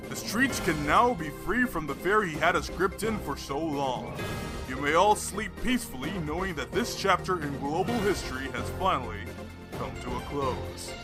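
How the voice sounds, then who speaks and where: A man's voice: on the high side, expressive, normal speed, lightly acted. A man playing a male television news anchor reading a special bulletin in a formal newsreader manner, with music beneath. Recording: music underneath.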